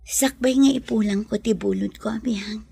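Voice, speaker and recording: Woman playing a breathy, tender young lady. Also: mid-range voice, moderate pace, warm tone, exaggerated voice. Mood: resigned, tender. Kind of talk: audio drama acting.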